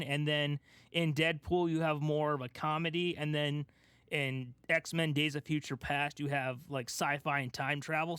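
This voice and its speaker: Male podcast co-host, fairly high voice, casual and animated storytelling, recorded dry. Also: smooth, no room sound. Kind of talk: casual chat about movies.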